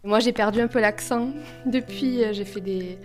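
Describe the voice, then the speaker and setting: A woman's voice: on the high side, normal speed, darkish tone. A young woman from Occitanie speaking in a hesitant, casual way in an interview. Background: music.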